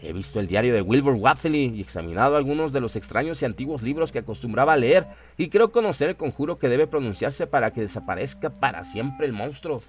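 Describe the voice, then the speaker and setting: A man's voice: medium pitch, lively intonation, fast, few pauses, natural voice. A man voicing a grave, resolute learned monster hunter in a horror radio drama, solemn and emphatic. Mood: grave, ominous. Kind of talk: audio horror drama dialogue.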